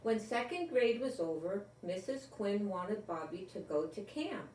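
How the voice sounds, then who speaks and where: An older woman, a grandmother storyteller, reading a children's book aloud in a deliberate, expressive manner. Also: medium pitch, a little hiss or hum. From Rhode Island, United States. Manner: deliberate, expressive, with repeated emphasis on key words. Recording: roomy, echoey, distant from the mic.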